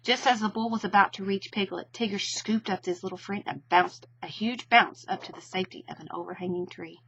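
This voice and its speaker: A woman's voice: medium pitch, brisk, fairly bright tone, slightly rough, dull audio. A woman narrating a children's storybook expressively.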